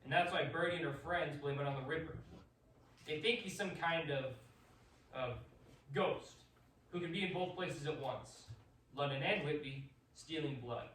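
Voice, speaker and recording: A man acts out a rational, authoritative doctor in a radio drama. The voice is fairly high and brisk, a touch flustered, recorded from a distance in a roomy space with faint noise. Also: a bit bright, close to an everyday voice. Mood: grave, reasoning. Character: authoritative, rational doctor and host, uneasily polite. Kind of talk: theatrical radio drama acting. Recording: echoey room, distant mic, faint noise.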